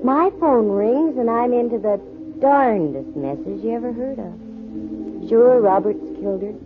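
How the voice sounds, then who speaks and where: A woman's voice: fairly high pitch, expressive, a little slow, music in the background. An actress playing a dry, wisecracking female private investigator in a 1940s radio drama. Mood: explaining. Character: wry, self-possessed female private investigator. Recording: muffled, thin 1940s radio recording.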